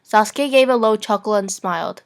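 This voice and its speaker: A woman's voice: mid-range voice, normal speed. A young woman reading fan fiction narration aloud as an amateur, playful and prone to giggling.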